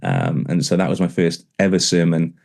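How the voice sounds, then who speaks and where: A man's voice: low-pitched, sing-song, moderate pace, vocal fry, darkish tone. A man, a pastor, talking in an interview conversation, with a stammer that shows in repeated words and restarts.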